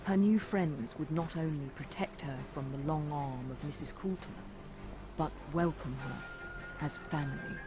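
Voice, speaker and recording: A man acting as a long-lived storyteller, narrating a young girl's tale in a measured, wistful, literary manner for a game. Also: on the high side, lightly acted. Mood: solemn, wistful. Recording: dull audio under loud music.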